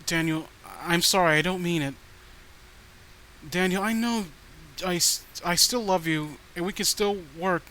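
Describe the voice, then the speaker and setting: A man's voice: high voice, a little slow, sharp tone, low-quality recording. A man voicing an unhinged, possessive girlfriend who is volatile, pleading and cloying, in a horror story reading. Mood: desperate pleading. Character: unhinged clingy murderous girlfriend, possessive, swinging from rage to sweetness. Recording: noticeable background noise.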